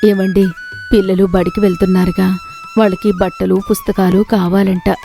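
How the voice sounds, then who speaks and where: A woman's voice: medium pitch, flat delivery, moderate pace, gravelly, mellow, soft background music, close to an everyday voice. A woman playing a poor farmer's wife in a moral story, worried but hopeful, speaking in a plaintive, homely way.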